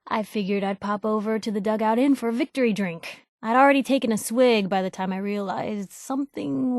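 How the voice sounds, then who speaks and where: An actress playing a plucky, principled young woman reporter in a video game, speaking in a candid, wry, expressive, slangy way. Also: mid-range voice, dry recording, studio quality, lightly acted. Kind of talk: video game companion dialogue, conversational storytelling.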